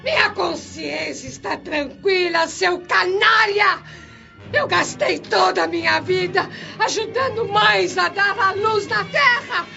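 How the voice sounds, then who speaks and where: A woman's voice: very high voice, slow pace, gravelly, sharp tone, dull audio, soft background music, heavily acted. A woman playing a wretched, venomous beggar woman in a radio drama, wailing and melodramatic. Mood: indignant. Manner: wailing, melodramatic pleading and defiance.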